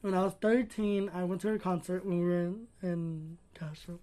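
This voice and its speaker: Chatty, expressive podcast co-host man. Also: sits high, normal speed. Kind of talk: casual chat.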